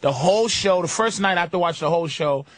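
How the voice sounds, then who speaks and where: Male comic host, high-pitched, dry close mic, profane and animated storyteller. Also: fast, no room sound. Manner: profane, animated, acts out quotes in other voices. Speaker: comedian podcast host. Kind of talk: casual podcast chat.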